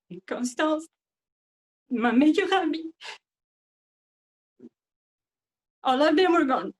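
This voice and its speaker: An actress playing a grieving, embittered wartime Frenchwoman, halting and emotional, with a French accent. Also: a little high, natural voice, low-quality recording. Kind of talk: theatrical monologue.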